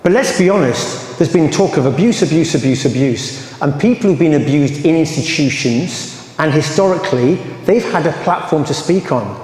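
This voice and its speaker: A man's voice: fairly high pitch, lively intonation, moderate pace, darkish tone. Impassioned English man in echoey hall. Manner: emphatic, rising to anger, then apologetic. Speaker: survivor advocate presenting to a meeting. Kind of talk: impassioned public talk. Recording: roomy echoey hall with reverb.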